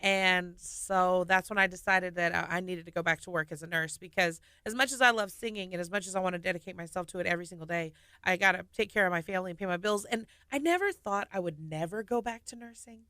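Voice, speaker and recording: A woman in her thirties, a singer and nurse, telling her story with lively laughs in a casual radio studio interview. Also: fairly low pitch, fast talker, few pauses, clear, resonant tone, no echo.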